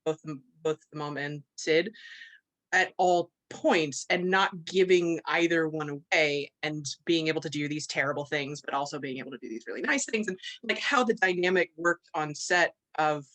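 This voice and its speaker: A woman's voice: low voice, moderate pace, fairly bright tone. A woman on a video call asking a long, thoughtful question that winds through several points.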